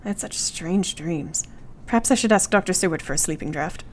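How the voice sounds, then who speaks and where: An actress playing an earnest, tender young Victorian wife in a radio play, with formal period diction. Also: female voice, fairly low pitch, fairly quick, slight background noise, close to an everyday voice. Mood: weary, troubled.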